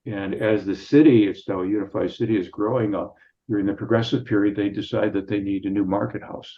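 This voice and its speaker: A man with a lowish voice gives an informal history lesson like a professor. His delivery is halting and wanders into asides, and the audio is dull and muffled. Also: fairly even intonation. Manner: halting, digressive.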